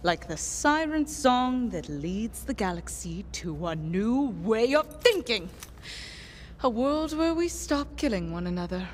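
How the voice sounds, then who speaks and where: An idealistic young female scientist in a video game. She is earnest and excitable, rambling and second-guessing herself with a very animated melody. There is faint noise behind her. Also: fairly high pitch. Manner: rambling, self-questioning.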